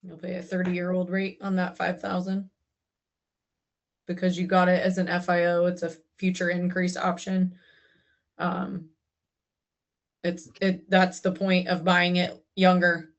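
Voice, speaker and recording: A woman's voice: on the low side, completely flat delivery, unhurried, long pauses, lo-fi sound. A woman answering as an insurance agent on a panel. She speaks firmly and agrees emphatically.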